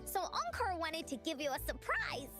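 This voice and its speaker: A woman's voice: very high-pitched, huge pitch swings, moderate pace, fairly bright tone, heavily acted. A woman voicing a cheerful, imaginative little girl adventurer in a cute anime video game, eager and speaking of herself in the third person. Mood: earnest, eager. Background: game music.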